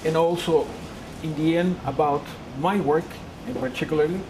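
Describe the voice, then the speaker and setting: A woman's voice: sits low, steady tone, moderate pace. Woman, lecturer, earnest, second-language English, humming noisy room. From Peru. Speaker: guest lecturer. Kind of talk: public lecture with slides.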